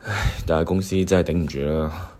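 A man acting a struggling company boss in a Cantonese radio play. His voice is deep, and his manner is world-weary and sardonic, like someone burdened by debts. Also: fairly even intonation, brisk, warm tone. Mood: worried, weary. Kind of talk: radio drama dialogue.

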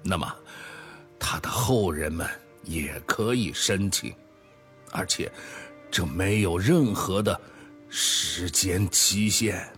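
Radio drama role: penitent male founder played by a man, deep, weighty, unhurried, confessing gravely. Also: a bit bright, faint music behind. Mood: grave, apologetic. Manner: grave, solemn, deliberate, sighing. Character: remorseful male tech founder confessing a fraud. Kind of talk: dramatic radio play monologue.

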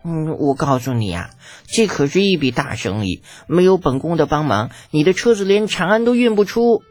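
Woman acting excitable young crown prince, audiobook, very swooping intonation, husky edge, dramatic. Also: low-pitched, moderate pace, no room sound, soft background music. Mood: haggling, boastful.

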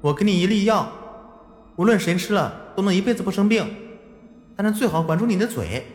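A man voicing a resentful little spirit girl who speaks quietly and coldly in a dream. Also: sits high, animated, fairly quick, reverberant, long reverb tail, faint music behind, voice pushed up.

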